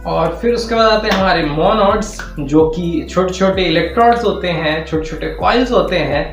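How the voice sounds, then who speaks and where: A man's voice: high voice, moderate pace, soft background music. An Indian man teaching physiotherapy in a methodical, step-by-step explanatory lecture with repetitive phrasing. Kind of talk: explanatory lecture with Hindi-English technical terms. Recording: roomy echo.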